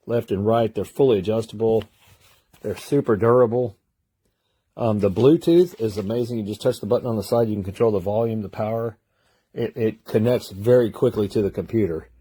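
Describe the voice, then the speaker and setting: A man's voice: fairly low pitch, moderate pace, warm tone, breathy edge, no echo. A man giving a casual, plain-spoken product demonstration. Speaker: product reviewer.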